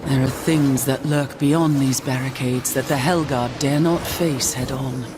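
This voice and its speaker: An actress playing a weary but resolute angel warrior commander in a video game, speaking in a formal, measured, stoic way. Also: female voice, very deep voice, fairly even intonation, music in the background. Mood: solemn warning. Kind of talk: video game cutscene acting.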